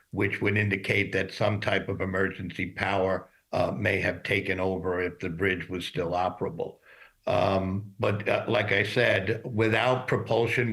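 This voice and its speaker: A man speaking as an industry expert in a news interview, explaining things in a measured, formal way. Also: low voice, vocal fry, fairly bright tone.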